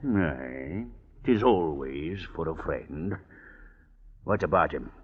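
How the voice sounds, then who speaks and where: Husky, low-voiced sly old sea captain. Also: male voice, expressive, takes it slowly, exaggerated voice. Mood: sly, probing. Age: older. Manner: drawling, chuckling, theatrical. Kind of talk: 1950s radio drama acting. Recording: dull lo-fi 1950s radio recording.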